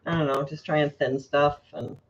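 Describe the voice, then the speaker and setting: A woman's voice: low-pitched, little pitch movement, normal speed. A woman co-hosting a live stream, chatting casually and laughing often. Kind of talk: casual chat. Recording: dull lo-fi stream audio.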